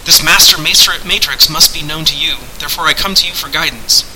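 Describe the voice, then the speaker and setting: A man voicing an earnest, wry adventurer who talks in formal, measured archaic language. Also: a little high, noisy background, pitched above the performer's own voice, natural voice.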